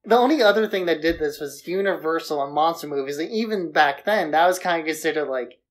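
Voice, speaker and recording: Low-voiced woman chatting on a podcast. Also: lively intonation, fairly quick. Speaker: podcast co-host. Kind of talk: casual film discussion.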